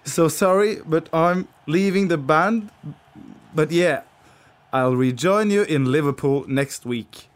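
A man voicing an earnest, odd Australian long-lost brother in an amateur radio play, with a halting delivery. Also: on the high side. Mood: solemn, halting.

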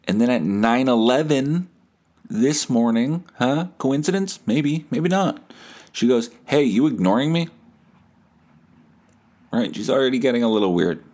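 A man's voice: medium pitch, expressive, unhurried, long pauses, darkish tone. A man hosting a podcast, telling a story casually and comedically, foul-mouthed and self-deprecating, reading out message exchanges as dialogue. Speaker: podcast host.

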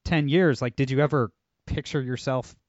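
A man hosting a podcast, chatty and energetic, with lots of fillers. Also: medium pitch, moderate pace, no room sound, highs cut off. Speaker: podcast host. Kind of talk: casual interview talk.

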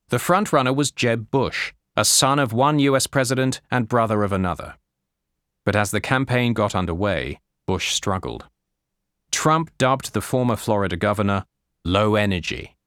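A man narrates a nonfiction audiobook in a fairly low voice. The recording is dry and close, with no room sound. He phrases the scripted text in a measured, deliberate way. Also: fairly bright tone, dry recording.

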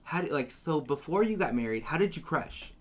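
Low-pitched, lively Southern California woman. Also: brisk. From Downey, California. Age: 20s. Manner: gushing, slangy, frequent "like" and "you guys". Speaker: podcast host. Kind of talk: casual chat.

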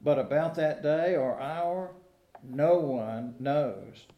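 A man delivers a sermon at an unhurried, very slow pace. His voice is fairly high for a man and mellow in tone, with measured, deliberate phrasing. The sound is roomy, with clear echo around the words. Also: highs cut off. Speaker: preacher at a church service. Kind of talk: sermon with scripture reading. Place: indoors in a church. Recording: roomy, echoing church sound.

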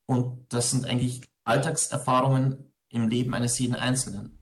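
A man's voice: mid-range voice, flat delivery, moderate pace. A man from Ingolstadt in Germany, a parish priest, answering interview questions in a reflective, conversational way with frequent hesitations. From grew up in Ingolstadt, Germany.

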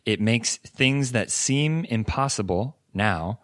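A man's voice: medium pitch, expressive, takes it slowly, no room sound. A young man co-hosting a podcast in a casual chat, earnest and encouraging, often restarting his sentences. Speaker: podcast co-host.

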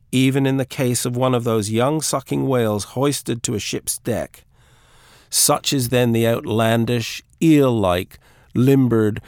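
A man's voice: medium pitch, dry recording, studio quality. A man reading a literary audiobook as a scripted narration.

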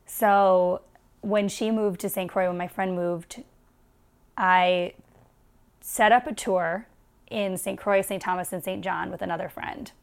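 A woman's voice: mid-range voice, fairly even intonation, a little slow, gravelly. Chatty laughing comedian woman. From United States. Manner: chatty, laughs often, self-deprecating. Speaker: stand-up comedian guest. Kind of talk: casual interview chat.

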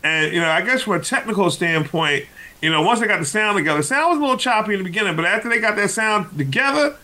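A man's voice: high voice, expressive, quick pace, bright, forceful tone. A man hosting a radio interview show, asking questions in a chatty way and drifting into his own stories. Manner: chatty, jumps into personal stories.

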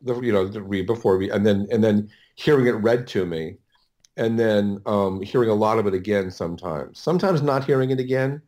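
Podcast guest: American male, middle-aged, slightly low pitch, loose halting storytelling with frequent self-corrections. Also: darkish tone. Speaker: audiobook producer, podcast guest. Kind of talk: casual interview storytelling.

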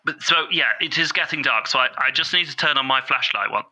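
A man's voice: mid-range voice, quick pace, pushed and bright, rough recording. A man playing an earnest, bumbling caller on a comedy podcast, fussy and overly polite. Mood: apologetic. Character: earnest bumbling male bushel-farmer caller.

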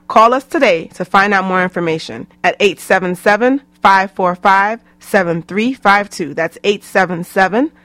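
A woman's voice: medium pitch, fairly bright tone, no room sound. A woman coach delivering a scripted radio promo on a close mic.

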